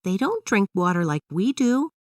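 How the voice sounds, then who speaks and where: Woman putting on a high-pitched curious little girl. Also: big pitch swings, normal speed, a little mellow, no echo, clean studio sound, far from the mic, natural voice. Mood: questioning. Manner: wide-eyed, inquisitive. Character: curious eager little girl questioning her dad. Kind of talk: children's storybook dialogue.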